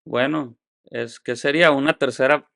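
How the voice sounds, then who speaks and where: A man's voice: medium pitch, fairly even intonation, normal speed, vocal fry, fairly bright tone, no room sound, studio quality. A man hosting a podcast, friendly, laughing often and prompting his guest with questions. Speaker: podcast host. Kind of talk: casual interview chat.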